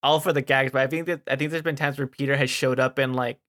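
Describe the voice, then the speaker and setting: Bright-voiced male podcast co-host. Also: mid-range voice, steady tone, fast talker. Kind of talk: casual chat.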